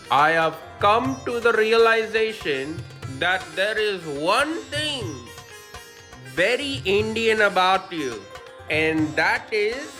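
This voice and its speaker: A scripted mock address delivered in a measured, mocking way. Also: mid-range voice, bright, forceful tone.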